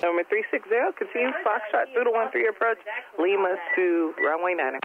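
A man's voice: high voice, a little fast, crowd noise. A man working as a ground controller, giving clipped taxi instructions over aviation radio. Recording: tinny radio with busy background.

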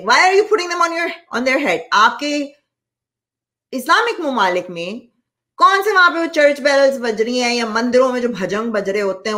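Woman, higher-pitched voice, blunt and emphatic, casual opinion vlogging, code-switching. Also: a bit bright. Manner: emphatic, blunt, coarse-spoken. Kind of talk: opinionated casual commentary to camera, switching between two languages. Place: small home office indoors.

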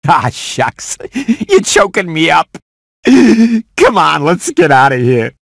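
A man's voice: fairly high pitch, huge pitch swings, slow, creaky, a bit bright, no room sound, studio quality, heavily acted. A man voicing a lazy, sentimental, wisecracking house cat in a video game, drawling and theatrical. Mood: touched. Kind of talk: video game cutscene acting.

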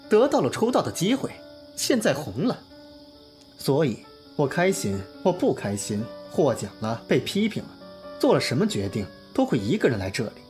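A man's voice: on the high side, darkish tone. A man playing an earnest, lovestruck young pop star in an audio drama, speaking in an emotive, confiding way. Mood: candid. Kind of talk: Chinese audio drama acting, heartfelt monologue and dialogue.

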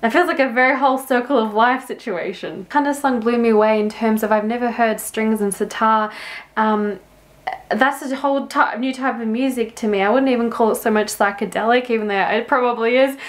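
Giggly, high-voiced young woman reacting to music. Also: steady tone, brisk. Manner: enthusiastic, giggly, thinks aloud. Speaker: music reaction video host. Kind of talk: casual reaction and analysis. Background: faint music playing.

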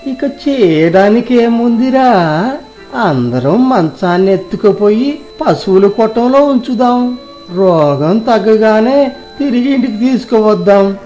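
A performer voicing a resigned but firm older father-in-law in a moral storybook family drama, speaking deliberately. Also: medium pitch, expressive, mellow, faint music behind, close to an everyday voice.

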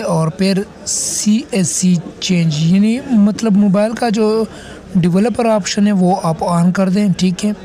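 A man with a high-pitched voice and a mellow, relaxed tone presents a phone repair tutorial. He talks casually and instructively, taking the listener through it step by step with frequent check-ins. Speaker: tech tutorial host.

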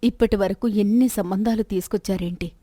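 A woman's voice: medium pitch, fairly even intonation, brisk, dark tone, no room sound, lightly acted. Practical, nagging wife, voiced by a woman, very dry sound. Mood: chiding, persuasive. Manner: chiding, questioning, persuasive. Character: practical, persistent, nagging middle-aged wife of rich merchant. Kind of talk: moral story dialogue.

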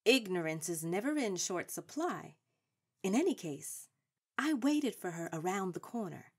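A woman's voice: on the low side, big pitch swings, a little slow, breathy edge, full character voice. A woman playing a seasoned, proud and shrewd suffrage activist in a radio drama, speaking in a formal, authoritative way. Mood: composed, resolute. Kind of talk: stage-trained radio drama acting.

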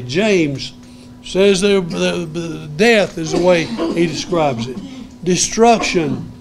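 A man's voice: high voice, poor audio. An older man teaching a Bible class, earnest and emphatic, repeating his key words, on a lapel mic in a lecture room.

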